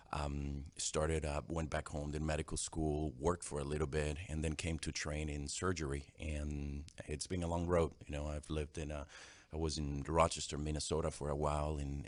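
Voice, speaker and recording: A middle-aged man from Venezuela with a very low, deep voice talks casually as a surgeon guest. He pauses and restarts often and leans on fillers. The sound is dry and close. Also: no echo. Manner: halting, many fillers and restarts. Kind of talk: casual interview answers.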